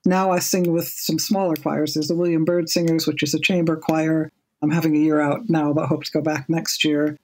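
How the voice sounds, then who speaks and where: A woman in her seventies with a low speaking voice, chatting as a podcast guest in a measured, thoughtful way, often repeating a word as she restarts. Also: flat delivery, darkish tone. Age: 70s. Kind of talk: casual podcast interview.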